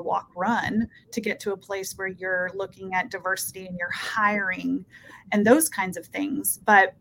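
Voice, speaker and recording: A woman's voice: mid-range voice, fairly bright tone, a little hiss or hum, low-quality recording. A woman in her thirties from Peru, talking earnestly as an interview guest and often checking in with "right?". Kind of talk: casual interview talk.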